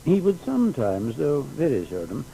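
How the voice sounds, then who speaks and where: Dark, gravelly period secretary. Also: male voice, fairly high pitch, normal speed, full character voice, low-quality recording. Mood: earnest recollection. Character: Newton's secretary, diligent, precise period assistant recalling his master. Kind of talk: dramatised first-person historical testimony. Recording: steady faint background noise.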